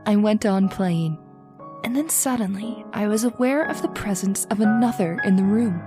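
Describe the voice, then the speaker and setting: A woman's voice: medium pitch, normal speed, close to an everyday voice. Woman acting as a wistful sheltered young heroine, music behind. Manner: wistful, formal, dramatic storytelling. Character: sheltered, lonely, romantic twenty-one-year-old daughter narrating her tale. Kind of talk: old-time radio horror drama acting, first-person narration.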